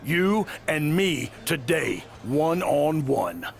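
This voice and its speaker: A man playing a stern, no-nonsense veteran wrestling coach in a video game cutscene, gravelly and blunt. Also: fairly high pitch, big pitch swings, slow pace, full character voice. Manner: blunt, reproachful.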